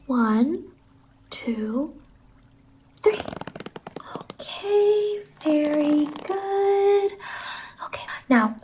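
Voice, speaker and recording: A woman acts out a gentle, whimsical female stylist from a dreamlike salon roleplay. Her voice sits fairly high and moves slowly, drifting between soft speech and whispers. She is reassuring and close to the microphone, with faint noise behind her. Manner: gentle, reassuring, drawn-out words.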